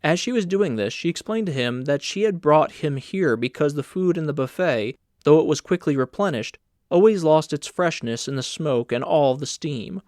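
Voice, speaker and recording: A man's voice: on the high side, lively intonation, moderate pace, a little mellow, dry recording, studio quality. A man reading scripted literary narration as an audiobook narrator.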